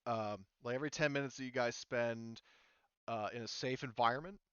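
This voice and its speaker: A man with a smooth, clear voice runs a tabletop game. He talks casually and haltingly, often rephrasing as he explains rules. The sound is dry and slightly dull. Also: medium pitch, a bit bright, no echo. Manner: informal, halting, often rephrasing mid-sentence. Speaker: game master.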